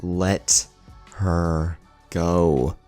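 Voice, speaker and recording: A man voicing an angry, defiant young male ninja fighter in a forceful, dramatic story reading. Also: deep voice, level tone, extremely slow, soft background music. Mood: furious.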